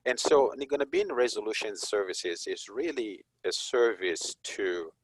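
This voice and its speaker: A man from Zimbabwe, a police chaplain and guest, talking in an unhurried, reflective way with frequent chuckles. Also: on the low side, animated, breathy edge, dry recording, low-quality recording. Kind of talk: conversational interview.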